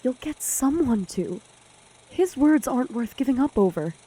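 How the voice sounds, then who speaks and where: A woman plays a loyal young friend in an audio drama, with a husky, mellow voice a little on the high side. She is supportive and pleading, insistent in her delivery. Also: animated, moderate pace, poor audio. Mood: reassuring.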